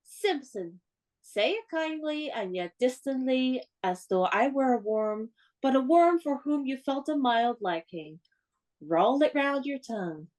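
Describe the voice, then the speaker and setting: A woman's voice: sits high, animated, a little slow, a little mellow. An actress playing a spirited, playful, bossy young woman in a radio play, with a theatrical, instructing manner. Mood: playfully instructing.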